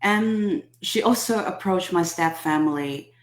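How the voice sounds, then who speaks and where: A woman, a Cantonese speaker, telling her life story as an interview guest in a halting, soft-spoken way with many pauses. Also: fairly low pitch, echoey room. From Hong Kong. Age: 30s. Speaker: guest, healing mentor.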